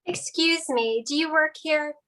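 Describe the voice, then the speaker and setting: A woman's voice: sits high, unhurried, noisy background. A woman playing an impatient, demanding female customer in an audio drama. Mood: expectant. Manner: demanding, persistent. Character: impatient, insistent female pet store customer. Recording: slightly distant from the mic.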